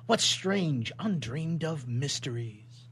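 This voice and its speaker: A man's voice: fairly high pitch, expressive, takes it slowly, a bit bright, slightly rough, heavily acted. A man playing an obsessed young scientist in a radio drama, speaking in a grandiose, florid theatrical manner. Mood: rapt, absorbed.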